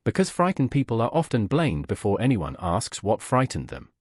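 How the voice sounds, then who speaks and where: Man playing a steady valet, slightly husky. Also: fairly low pitch, normal speed, dry recording, clean studio sound. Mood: calm, reassuring. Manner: calm, tactful. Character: steady, reassuring male valet, tactful and fair. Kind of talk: audiobook character dialogue.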